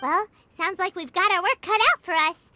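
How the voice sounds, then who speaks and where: An actress voicing a tiny, chirpy fairy companion in a video game, bubbly and teasing, with a very high, childlike voice, talking about herself in the third person. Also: female voice, moderate pace, sharp tone, no echo. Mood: eager. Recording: game audio with music underneath.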